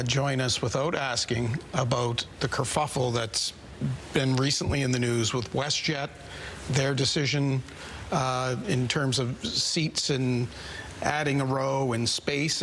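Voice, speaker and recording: A man's voice: medium pitch, steady tone, a little slow, fairly bright tone, slight background noise. A man, a news anchor interviewing a guest and introducing segments, conversational with some fillers. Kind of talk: live studio interview and news links.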